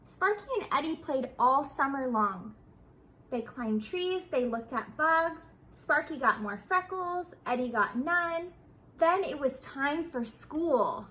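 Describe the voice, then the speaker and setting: A woman reading a children's storybook aloud, with deliberate, sing-song, expressive phrasing. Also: high voice, low-quality recording.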